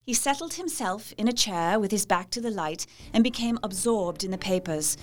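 A woman's voice: mid-range voice, animated, normal speed, soft background music, close to an everyday voice. An actress playing a polite, devoted young Victorian wife in a gothic audio drama, formal and heartfelt, as if telling her diary. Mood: composed, recounting. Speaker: actress narrating and playing dialogue.

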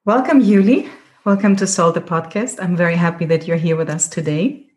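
A woman hosting a podcast, introducing her guest and asking questions in a measured way. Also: on the low side, a little mellow. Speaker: podcast host. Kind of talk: interview hosting.

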